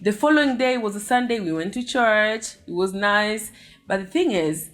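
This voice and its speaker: A Kenyan woman vlogger telling a story to her viewers in a lively, chatty way, with frequent exclamations. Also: medium pitch, normal speed.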